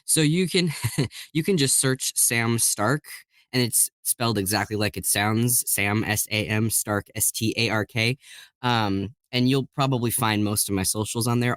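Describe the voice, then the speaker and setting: A man's voice: fairly low pitch, normal speed, a bit bright, dry recording. Chatty man with a dry, close sound. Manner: chatty and rambling, acts out quoted lines. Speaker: podcast guest, voice actor and narrator. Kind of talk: casual chat, anecdotes.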